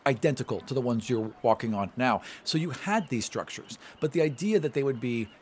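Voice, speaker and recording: A man's voice: mid-range voice, animated, fairly quick, slight background noise. A man acting as game master, describing scenes and explaining things in a casual, conversational way. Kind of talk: narrating a tabletop game, conversational.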